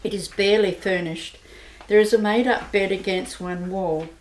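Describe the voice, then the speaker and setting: A woman's voice: medium pitch, fairly even intonation, moderate pace. A woman narrating an amateur radio play in a plain, matter-of-fact way. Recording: roomy amateur recording with faint hiss.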